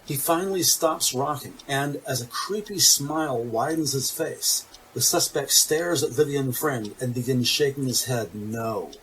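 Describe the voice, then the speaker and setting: A man's voice: mid-range voice, measured pace, hardly any pauses, fairly bright tone, recorded from a distance, a little hiss or hum, low-quality recording. A man reading scripted dramatic narration as the narrator of an audio drama. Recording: music bed behind.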